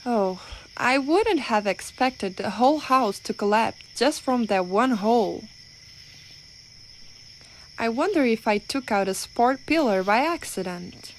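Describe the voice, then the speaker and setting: A woman playing a clumsy, well-meaning giantess in an ASMR roleplay, rambling and hesitant but gently reassuring. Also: fairly high pitch, animated, a little slow, a little hiss or hum. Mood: sheepish, guilty.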